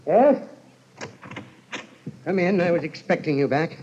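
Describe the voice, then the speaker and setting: Casting: haughty, miserly old man, sardonic, higher-voiced, vintage radio drama, muffled sound. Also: lively intonation, measured pace, background noise. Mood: sardonic, unyielding. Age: older. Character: stern, sharp-tongued, miserly old rich uncle. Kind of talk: old-time radio horror acting.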